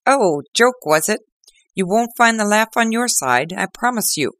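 A man voicing a gruff, excitable male police inspector in an audiobook reading, loud and blustering. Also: a little slow, no room sound, exaggerated voice. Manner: loud, blustering, high-pitched.

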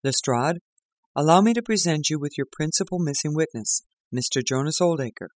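A man voicing a calm, assured gentleman detective with a dryly amused manner. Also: fairly high pitch, normal speed, no echo, clean studio sound, natural voice.

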